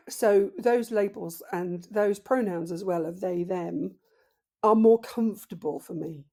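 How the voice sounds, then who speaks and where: A woman in her fifties with a deep voice, a podcast guest talking slowly and thoughtfully in a casual interview. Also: vocal fry, dark tone. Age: 50s. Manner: slow, thoughtful, reflective.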